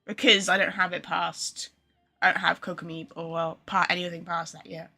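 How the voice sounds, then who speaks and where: A woman's voice: on the low side, level tone, moderate pace, creaky, pushed and bright. A woman chatting casually with viewers on a game livestream, thinking aloud in an informal, chatty way. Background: game music.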